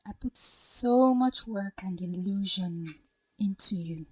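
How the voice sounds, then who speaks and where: A woman playing a troubled young bride-to-be in an audio drama, speaking softly in a hushed, wistful way. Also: medium pitch, slow, no room sound, low-quality recording. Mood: wistful, torn. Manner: soft, breathy, hushed delivery.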